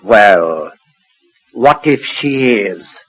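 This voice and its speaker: An actor with a British accent playing an irritable, defiant, hard-drinking husband in a 1940s radio drama, blustering and clipped. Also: male voice, lowish voice, expressive, very slow, exaggerated voice. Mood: uneasy. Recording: noisy 1940s radio recording.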